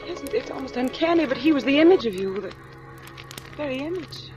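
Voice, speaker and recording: Casting: gentle widowed young mother, fairly high voice, courteous and fragile, old radio drama with music. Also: animated, brisk. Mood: wistful, sad. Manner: polite, apologetic, hesitant. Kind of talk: 1940s radio acting.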